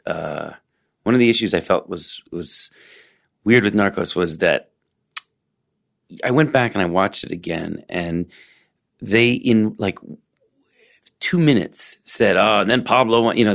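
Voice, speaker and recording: A male podcast host chatting casually, telling things in an animated way with plenty of fillers. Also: lowish voice, creaky. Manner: animated storyteller, lots of fillers. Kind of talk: casual conversation.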